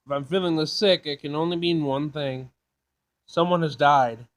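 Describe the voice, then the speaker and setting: High-voiced man doing a casual let's play. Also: fairly even intonation, normal speed. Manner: informal, stumbles while reading aloud. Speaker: gaming video host. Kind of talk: casual let's play, reading game text aloud. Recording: clear game music behind voice. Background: game music.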